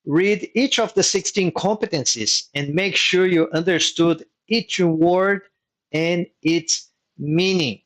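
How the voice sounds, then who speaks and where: A man's voice: high voice, slow, a bit bright. A man whose first language is Portuguese, hosting a live online workshop as a mentor and explaining advice step by step, repeating key points. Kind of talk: live online teaching session.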